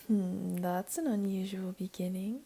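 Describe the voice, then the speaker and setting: A woman voicing a flirty, teasing, comforting jaguar beastling in an intimate ASMR roleplay, speaking softly and coaxingly in a half-whisper. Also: mid-range voice, fairly even intonation, measured pace, vocal fry, breathy edge. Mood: playful, warm.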